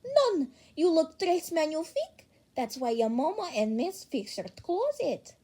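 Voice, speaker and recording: A woman's voice: extremely high pitch, sing-song, a little slow, dark tone, pitched above the performer's own voice, full character voice. A woman voicing a flamboyant, theatrical teenage boy who loves fashion, with a dramatic, gushing delivery in a fan fiction reading.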